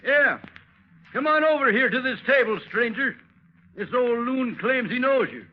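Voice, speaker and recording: A man's voice: very high voice, moderate pace, slight background noise. A man playing a brash, nosy tavern patron in a 1940s radio drama. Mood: brash, prodding. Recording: tinny, narrow 1940s radio recording.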